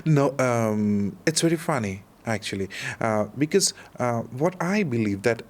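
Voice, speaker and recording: A man's voice: mid-range voice, lively intonation, unhurried. A young man with an Indian accent, chatting casually as an interview guest, hesitant with many restarts and easy laughs. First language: not Hindi, another Indian language. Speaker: guest, expat in Russia.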